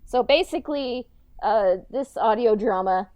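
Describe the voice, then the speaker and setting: A woman co-hosting a podcast, chatting casually in a halting, filler-heavy way on a slightly dull microphone. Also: fairly high pitch, darkish tone, dry recording. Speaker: podcast co-host and audio drama director. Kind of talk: casual behind-the-scenes chat.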